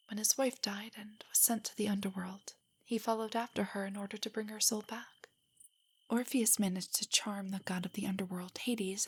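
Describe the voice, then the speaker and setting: A woman playing a nervous, nerdy, affectionate young girlfriend in an intimate audio roleplay, speaking softly and breathily in a hesitant, earnest way. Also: on the high side. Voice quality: soft, breathy, whispery. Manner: hesitant, rambling, earnestly explanatory.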